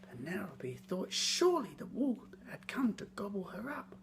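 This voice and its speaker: A woman reading a scripted children's story in a theatrical, expressive way, lingering on words. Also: mid-range voice, moderate pace, gravelly, slight background noise.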